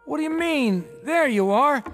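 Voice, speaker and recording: A man's voice: extremely high pitch, lively intonation, moderate pace, fairly bright tone, faint music behind, heavily acted. An American man playing a guilt-ridden, weak small-time con man father in a radio drama, emotional, hesitant and pleading. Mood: anxious, pleading.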